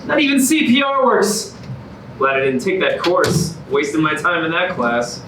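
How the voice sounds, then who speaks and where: A man's voice: on the high side, expressive, background noise. A man playing a gloomy, defensive boyfriend with a morbid streak, theatrical in a staged musical comedy reading. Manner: theatrical, halting when worked up. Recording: echoey room, distant mic, music behind.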